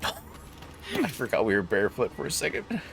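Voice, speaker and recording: A man's voice: mid-range voice, wildly swooping pitch, normal speed, gravelly, noisy background. A man hosting a game playthrough with casual, joking commentary and playful mock-heroic asides. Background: video game sounds.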